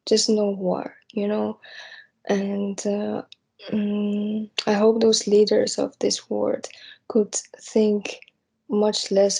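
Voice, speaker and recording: Young woman, slow halting delivery, husky, even-toned, reflective unscripted talk. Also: mid-range voice. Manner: hesitant, halting, searching for words. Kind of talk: unscripted spoken reflection on personal hopes.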